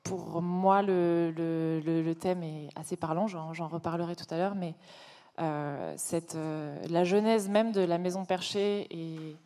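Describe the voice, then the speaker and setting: Woman, co-founder on a panel; hesitant, lots of fillers, lowish mellow voice. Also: steady tone, a little slow. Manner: hesitant, many fillers, warming up as she goes. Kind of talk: conference panel talk. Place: conference hall.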